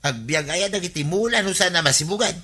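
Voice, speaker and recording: Naggy exasperated ilocano pal in radio comedy, really piercing bright voice, a bit high. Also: male voice, expressive, fast, husky, heavily acted. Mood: insistent. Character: nagging, exasperated male village buddy of the captain.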